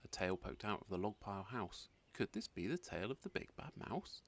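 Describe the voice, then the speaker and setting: Animated, slightly creaky man reading a story. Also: lowish voice, moderate pace. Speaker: storybook narrator. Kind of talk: expressive scripted read-aloud for children.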